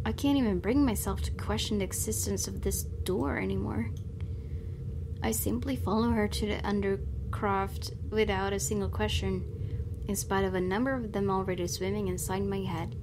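A woman doing a let's play, reading a visual novel's narration aloud in a dramatic way. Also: mid-range voice. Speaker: gaming YouTuber. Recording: noisy, game ambience behind voice.

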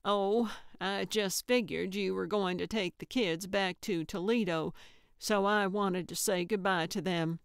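A woman's voice: mid-range voice, fairly even intonation, a little slow, creaky, clean tone, dry recording, clean studio sound. A woman voicing a feisty, sentimental older woman with a blunt, plainspoken manner in an audiobook. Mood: chatty. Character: feisty, sentimental older woman who loves her cat. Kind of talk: audiobook character voice.

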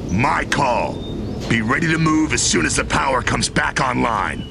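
An actor playing a gruff, commanding veteran soldier who leads a squad in a video game, speaking tersely in a gravelly voice. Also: male voice, mid-range voice, expressive, a little slow. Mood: stern, businesslike. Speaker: squad leader. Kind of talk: video game cutscene acting. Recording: loud game music over dialogue.

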